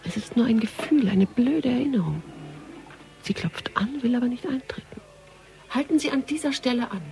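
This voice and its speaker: An actress playing a sharp-minded, pensive woman investigator in a radio crime drama, speaking deliberately. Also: a little high, lively intonation, vocal fry. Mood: pensive, alert. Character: brooding, sharp-minded female investigator on a case.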